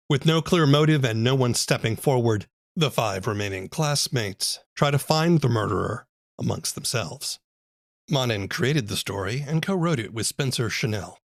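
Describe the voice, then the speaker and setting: A man's voice: mid-range voice, creaky, dry recording, studio quality. A man hosting a podcast, reading scripted narration and promos in a presentational style. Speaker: podcast host. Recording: faint music behind voice.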